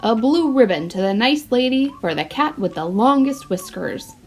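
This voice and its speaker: A woman's voice: fairly high pitch, lively intonation, moderate pace, darkish tone, natural voice. A woman voicing a friendly, official pet show judge in a storybook, with an announcing manner.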